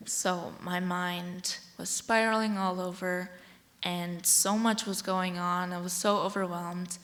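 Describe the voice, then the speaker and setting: A woman's voice: fairly low pitch, level tone, takes it slowly, fairly bright tone. Teenage American girl speaking in an echoey hall. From Washington State, United States. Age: teens, about sixteen. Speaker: youth advocate and speaker. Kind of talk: prepared public speech. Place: large indoor hall, speaking from a stage. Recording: echoey hall with long reverb.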